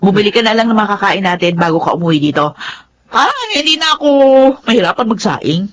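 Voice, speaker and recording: A woman's voice: medium pitch, animated, fast, a bit bright. An actress playing a brash, carefree young Filipino mother in a radio drama, talking in a boastful, breezy, exclamatory way. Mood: breezy, bossy.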